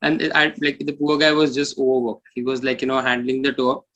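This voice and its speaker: A man's voice: mid-range voice, little pitch movement, a little fast, a bit bright, lo-fi sound. A man in a casual interview chat, speaking informally and repeating phrases for emphasis. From India. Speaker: metal musician, interview guest.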